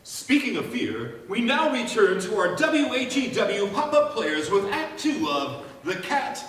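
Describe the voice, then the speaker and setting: A man playing a hammy announcer and pitchman in an old-time radio show. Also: sing-song, a little slow, fairly bright tone, exaggerated voice. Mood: dramatic, upbeat. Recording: echoey hall, distant mics, faint noise.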